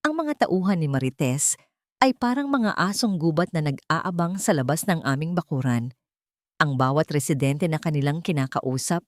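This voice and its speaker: A woman with a low-pitched voice narrates a scripted audio drama in the first person. Her delivery is measured and deliberate, as a storyteller's. The sound is dry, with very little room in it. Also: no echo.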